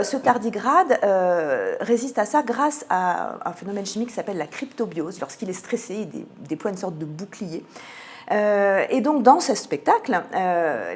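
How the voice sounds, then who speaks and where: A woman with an accent from France, explaining a cultural programme as a radio interview guest, articulate but often hesitating as she finds her words. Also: medium pitch. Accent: French from France.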